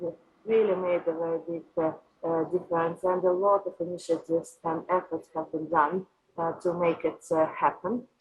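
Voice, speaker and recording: A woman from Russia with a Russian accent, answering interview questions as a company executive, haltingly and with frequent filled pauses. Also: lowish voice, breathy edge, dull audio. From Moscow, Russia. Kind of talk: interview answers on an online panel.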